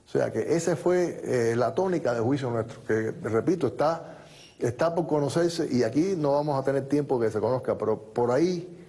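A man's voice: medium pitch, moderate pace, slightly rough. Man telling a story in a TV studio. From born in the United States, raised in Cuba. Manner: steady storytelling, recounting events in detail. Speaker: interview guest. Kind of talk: studio TV interview. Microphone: lapel mic.

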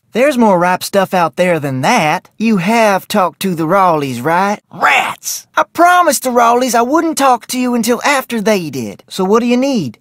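A gossipy, bossy ranch cook from an adventure game, acted by a woman. She is folksy and emphatic, easily rattled, and her medium-pitched voice swings widely up and down. The sound is dry, with no room around it. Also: dry recording, natural voice. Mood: brisk, bossy, then impatient, eager. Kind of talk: scripted adventure game character acting.

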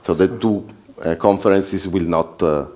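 Deep voiced italian speaking guy presenting his conference, accented english, bit halting. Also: steady tone, warm tone. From southern Switzerland. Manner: non-native English with restarts, slips and laughs. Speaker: conference organizer. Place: conference hall.

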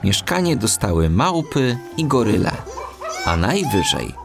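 A man narrating a children's audiobook in an expressive storytelling style. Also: mid-range voice, a little slow, vocal fry, music in the background.

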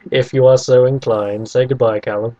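A man's voice: mid-range voice, steady tone, normal speed, warm tone, muffled recording. A young man hosting a casual podcast chat about games, teasing and sarcastic. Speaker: podcast host.

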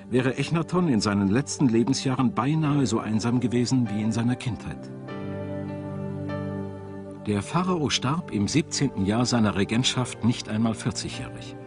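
A man narrating a scripted documentary in a measured, solemn storytelling manner. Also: mid-range voice. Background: background music.

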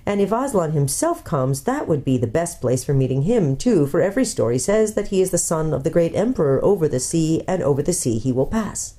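A woman's voice: low voice, sing-song, mellow. A woman voicing a learned old male doctor who speaks in a careful, scholarly way, in a storybook reading. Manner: measured, scholarly.

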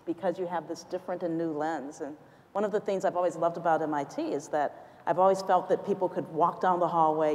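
A woman's voice: on the low side, a little mellow. A middle-aged woman speaking earnestly as a panelist on an auditorium stage, with a lapel mic. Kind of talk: panel discussion. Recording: reverberant auditorium sound.

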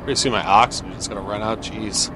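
A man's voice: fairly low pitch, steady tone, brisk, noisy background. A man giving casual gameplay commentary, thinking aloud and asking himself questions as he plays. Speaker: gamer playing and commenting on a video game.

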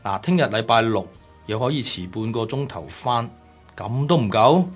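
A man with a Hong Kong Cantonese accent playing a stingy, sharp-tongued middle-aged boss in a radio drama. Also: mid-range voice, animated, normal speed, natural voice. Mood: mocking. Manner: brusque, dismissive, stammers when frightened. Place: small padded radio studio. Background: music.

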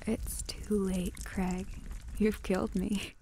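A woman acting a vengeful, dying witch in a retro radio drama, deliberate, breathy and mocking. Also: medium pitch, flat delivery, dark tone, slight background noise.

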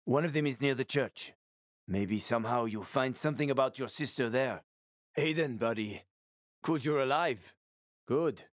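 A man's voice: medium pitch, normal speed, dry recording, studio quality, close to an everyday voice. An actor playing a roguish, wisecracking male survivor in a video game, talking in a casual, teasing, sweary way. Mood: wry, friendly.

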